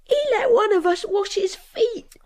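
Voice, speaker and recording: A woman's voice: very high voice, unhurried, darkish tone. An actress playing a destitute, anguished young woman, tearful and pleading, in a radio drama. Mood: tearful, insistent. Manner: pleading, tearful, repeating herself.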